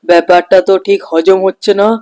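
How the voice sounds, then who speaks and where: A woman's voice: lowish voice, little pitch movement, brisk, darkish tone, voice pushed down, close to an everyday voice. A woman voicing a jealous, scheming male street-food vendor in a children's cartoon, muttering plots to himself. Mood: suspicious. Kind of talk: children's cartoon fairy tale.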